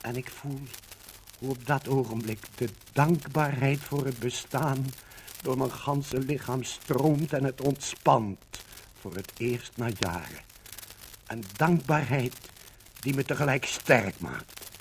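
A deeply grateful man speaks his diary as a dramatic monologue for 1950s radio. He is solemn and emotional, very slow and deliberate. The old recording crackles with light noise.

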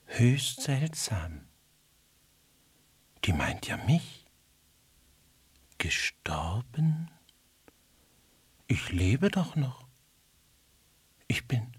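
A woman's voice: extremely low pitch, husky, no echo, full character voice. An actress voicing a small male beetle in a children's radio play, whiny and plaintive, sorrowful, timid yet a little vain.

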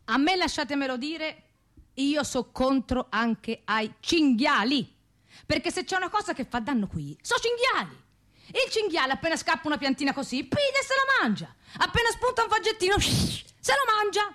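Comic radio drama: an emphatic provincial Tuscan woman, colloquial, fairly high and very animated. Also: moderate pace, heavily acted. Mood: indignant, emphatic.

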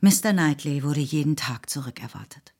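A woman reading scripted literary narration for a radio play in a measured, composed way. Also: low-pitched, expressive, vocal fry, darkish tone. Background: music.

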